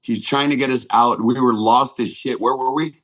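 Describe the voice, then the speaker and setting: A man in his forties telling stories casually as a podcast guest, rambling and halting, with plenty of swearing. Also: medium pitch, fairly even intonation, a bit bright, dry recording. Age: 40s. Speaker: podcast guest, punk band drummer.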